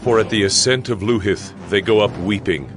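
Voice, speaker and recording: A man with a very deep voice narrates dramatized scripture. His delivery is solemn and declamatory, leaning firmly on key phrases. Soft music runs quietly behind him. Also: moderate pace.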